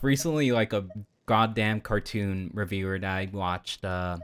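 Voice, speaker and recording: A man's voice: on the low side, clear, resonant tone. Man casually chatting on a game livestream. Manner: rambling, geeky, slangy. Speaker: game streamer. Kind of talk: casual livestream chat to viewers while playing.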